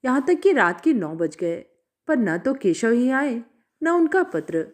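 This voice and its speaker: A woman narrating a classic literary short story in an expressive, measured reading. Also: fairly high pitch.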